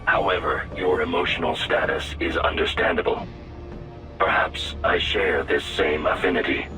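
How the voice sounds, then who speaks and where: An actor playing a coldly logical artificial intelligence companion in a video game, stiff, formal and analytical. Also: male voice, rumbling low, steady tone, moderate pace, distant mic, music in the background, poor audio. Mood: cool, analytical. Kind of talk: video game cutscene acting.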